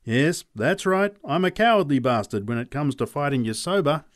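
A man's voice: a little high, lively intonation, normal speed, no echo, studio quality, lightly acted. A man voicing a cowardly, wheedling farmer in an audiobook. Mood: pleading. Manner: pleading, wheedling salesman's patter. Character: wary, cowardly man hiding among his cows. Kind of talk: audiobook character voice.

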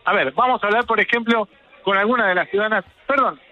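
A man's voice: sits high, fast talker, dull audio. A man reporting live for radio from a community center, talking fluently and descriptively and staying polite with the people he interviews. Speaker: field reporter. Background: music playing in the background.

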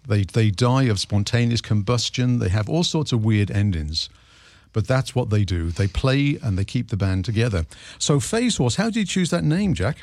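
An older man with a deep voice, hosting a casual radio interview and chatting enthusiastically about records. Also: expressive, normal speed, darkish tone, no room sound. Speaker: radio host.

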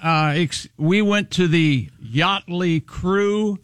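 A man with an American accent and a fairly high speaking pitch. He is a co-host chatting and bantering casually, with a dry, close studio sound. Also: slow, fairly bright tone, dry recording. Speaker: radio show co-host.

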